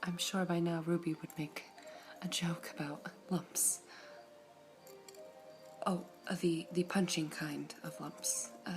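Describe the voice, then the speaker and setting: A woman voicing a calm, formal female gem who serves tea, speaking in a soft, polite ASMR whisper with a slightly low voice. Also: slow pace, long pauses, over music. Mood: serene. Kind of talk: ASMR roleplay.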